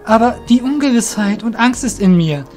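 Young male apprentice played by a man: high voice, anxious and earnest, fantasy audio drama. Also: lively intonation, normal speed, a little mellow, soft background music. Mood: anxious, troubled.

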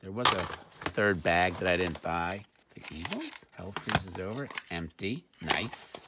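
A middle-aged man talks off the cuff in a low, gravelly voice, dropping dry, muttered asides as he sorts through items. It is a very noisy home recording, with clicks from things being handled. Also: animated, unhurried. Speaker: reseller showing thrift finds. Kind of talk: casual off-the-cuff unboxing commentary. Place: indoor room at home, at a table.

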